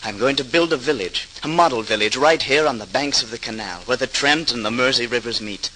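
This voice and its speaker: An actor playing an earnest, idealistic master potter in a 1940s radio drama, speaking in a deliberate, visionary way. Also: male voice, mid-range voice, sharp tone, close to an everyday voice. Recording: noisy, crackly 1940s radio transcription.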